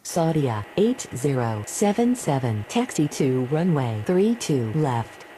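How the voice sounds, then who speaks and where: A woman's voice: deep voice, very animated, slow, dark tone, slight background noise, exaggerated voice, poor audio. A woman playing a procedural controller and pilot, speaking formulaic aviation radio calls. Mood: businesslike. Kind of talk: scripted aviation radio phraseology.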